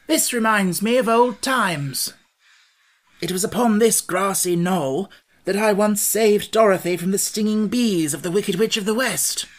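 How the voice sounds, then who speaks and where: A woman voicing a cheerful, pompous male scarecrow king in a storybook, with a bright and grandly reassuring manner. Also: medium pitch, a little slow, pitched above the performer's own voice, close to an everyday voice. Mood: reminiscing. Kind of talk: audiobook character voice.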